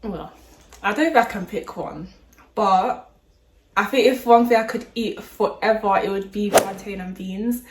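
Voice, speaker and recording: A young woman from London chatting with friends, animated and quick to laugh. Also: mid-range voice, roomy sound, rough recording. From born in London. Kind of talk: casual chat among friends.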